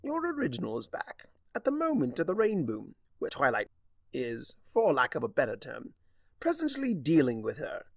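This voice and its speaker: A man's voice: a little high, wildly swooping pitch, normal speed, gravelly, dry recording, clean studio sound, voice pushed up, heavily acted. Man putting on a theatrical, swooping trickster chimera. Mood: smug explaining. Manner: pompous, wordy, taunting. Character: theatrical, mocking, vengeful male chimera trickster of chaos. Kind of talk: dramatic fan fiction audiobook reading.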